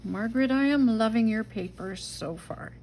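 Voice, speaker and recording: A middle-aged woman from the Netherlands with a Dutch accent, chatting to herself and her viewers while making a journal page, sometimes dropping to a soft whisper. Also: fairly high pitch, normal speed, slight background noise. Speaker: junk journal crafter. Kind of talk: casual craft-along narration.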